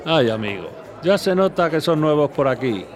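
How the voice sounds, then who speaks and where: An actor playing a worldly café bartender in an audio drama, unhurried, chatty, familiar and knowing. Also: male voice, fairly high pitch, sing-song, a faint crowd. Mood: knowing, wry.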